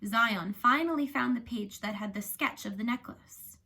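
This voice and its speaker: A woman reads a children's novel aloud in a bright, fairly high voice. Her storytelling is expressive and animated, with the clear delivery of someone reading to young listeners. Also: moderate pace. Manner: animated, acts out the dialogue.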